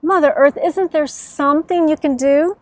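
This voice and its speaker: A woman's voice: sits high, normal speed, no echo, pitched above the performer's own voice, natural voice. A woman voicing plaintive, indignant plants and animals complaining in a children's story.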